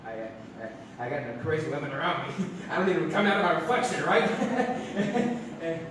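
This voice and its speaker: A man's voice: a little high, lively intonation, moderate pace, lightly acted. A man playing a local actor being interviewed, hesitant and casual as he rambles through a ghostly tale. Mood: uneasy, joking. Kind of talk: casual radio-interview acting. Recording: echoey, noisy theatre recording from far off.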